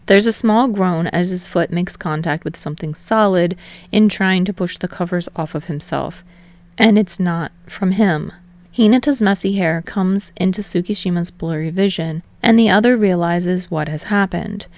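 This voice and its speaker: A woman's voice: on the low side, normal speed, darkish tone. A woman reading fiction aloud as scripted narration for a podfic.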